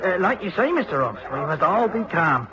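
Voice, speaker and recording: An actor playing a deferential, plain village police constable in a radio drama. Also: male voice, high voice, very animated, moderate pace, pushed and bright, full character voice. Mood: dutiful. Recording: dull old radio recording.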